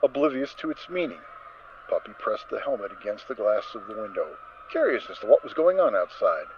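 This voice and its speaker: A man narrating a story as a dramatic reading, with expressive, theatrical emphasis. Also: lowish voice, fairly quick. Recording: dull recording with faint noise.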